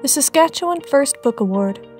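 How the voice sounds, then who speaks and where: A woman reading a scripted award announcement in a crisp announcing manner. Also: sits high, expressive, normal speed, a little mellow. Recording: faint music behind.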